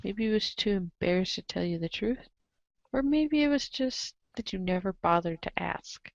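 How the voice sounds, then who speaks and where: A woman's voice: medium pitch, animated, normal speed, vocal fry, dry recording, highs cut off. A woman reading scripted narration aloud in a theatrical, wry way, with casual asides.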